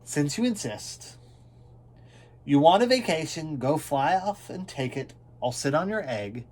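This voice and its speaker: Man voicing a solemn, earnest, slow-talking storybook elephant. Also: fairly high pitch, big pitch swings, darkish tone. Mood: resigned. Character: big earnest male elephant, gentle, stubbornly faithful. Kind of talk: children's storybook character reading.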